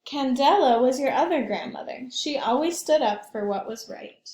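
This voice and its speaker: A woman voicing a gentle, loving storybook father who tells family history in a measured, tender way. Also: high-pitched, expressive, reverberant, pitched above the performer's own voice. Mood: gentle, reassuring.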